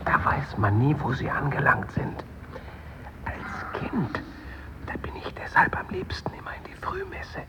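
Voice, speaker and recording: Ein Schauspieler spielt in einem Hörspiel einen respektlosen, ungeduldigen Trauergast, der halb flüsternd und trocken spöttisch in der Kirche redet. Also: male voice, on the high side, huge pitch swings, measured pace. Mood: wry, impatient. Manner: half-whispered, wry. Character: irreverent, impatient male mourner whispering in church. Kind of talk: naturalistic radio play dialogue. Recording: dull 1970s radio recording, loud music.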